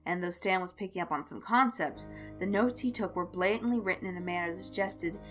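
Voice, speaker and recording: A woman reads a scripted story as an expressive narrator. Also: on the low side, brisk. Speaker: fan fiction narrator. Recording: music behind the voice. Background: instrumental music.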